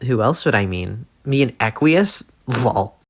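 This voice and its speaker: A woman's voice: extremely low pitch, animated, a little slow, noisy background, full character voice. A woman playing a brash, dismissive teenage alien troll girl in an audio drama, flippant, impatient and sarcastic. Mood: bored, dismissive.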